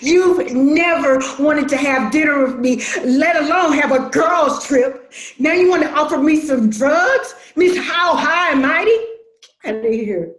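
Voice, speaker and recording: An actress playing a bereaved, distraught adult daughter in a stage drama, insistent and emotional, recorded in an echoey room. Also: female voice, high-pitched. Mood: bitter, angry. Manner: insistent, emotional, drawn-out delivery.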